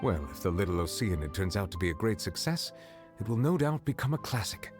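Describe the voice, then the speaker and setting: Composed formal judge, deep low voice, measured, courteous, video game. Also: male voice, big pitch swings. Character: stately, composed chief justice, calm and formal. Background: game music.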